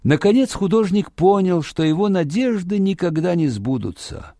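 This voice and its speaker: A man narrating a fairy tale for children in an expressive, dramatic storytelling manner. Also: high-pitched, normal speed, no room sound, clean studio sound.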